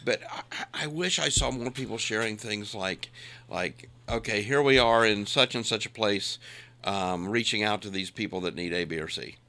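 A man's voice: on the low side, moderate pace, pushed and bright. A man in his sixties chatting casually with a co-host, folksy and self-deprecating, leaning into emphatic points. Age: 60s. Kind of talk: casual chat.

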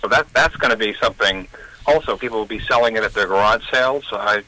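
Rambling man calling in, sharp tone. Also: lowish voice, fast talker, rough recording. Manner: rambling, hesitant, with restarts and fillers. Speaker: caller. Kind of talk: call-in radio comment.

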